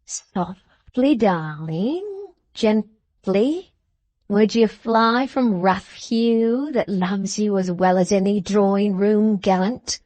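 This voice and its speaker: A woman voicing a savage, rough man, a mocking villain who drawls his threats in an audiobook. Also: medium pitch, lively intonation, slow pace, a little mellow, dry recording. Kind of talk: dramatised audiobook reading.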